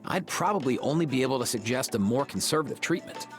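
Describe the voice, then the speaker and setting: A laid-back young vet, acted by a man. He talks in friendly, slangy dude speak for a game, his mid-range voice swinging freely over clear background music. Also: medium pitch, animated, fast talker, lightly acted. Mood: casual, earnest. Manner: breezy surfer-dude slang. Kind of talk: video game voice acting.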